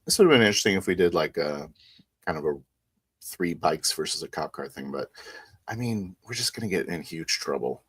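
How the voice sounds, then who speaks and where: Deep, husky-voiced man chatting casually. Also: expressive, brisk. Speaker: tabletop roleplay player. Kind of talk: casual post-game chat.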